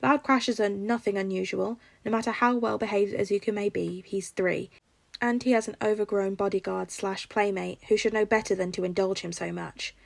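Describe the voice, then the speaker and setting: A woman's voice: medium pitch, fairly even intonation, normal speed, gravelly, no echo. A woman reading a scripted story aloud as a fiction narrator. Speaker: podfic narrator.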